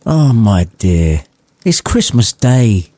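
A man voicing a gentle, excitable, doting poor Victorian father in an audiobook story, with earnest, emotional delivery. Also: lowish voice, slow pace, no room sound, heavily acted. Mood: warm, conciliatory.

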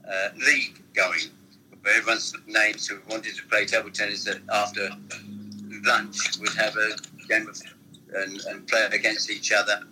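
A man's voice: mid-range voice, bright, forceful tone, slight background noise, rough recording. An older man, a former football manager, telling rambling stories from his past in an interview. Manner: rambling anecdotal storytelling. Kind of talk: reminiscing interview.

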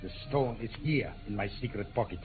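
An actor playing an irritable, arrogant, scheming count, curt and domineering, in a 1950s radio drama. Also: male voice, on the low side, fairly quick, phone-quality sound, natural voice. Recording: thin 1950s radio recording, music behind.

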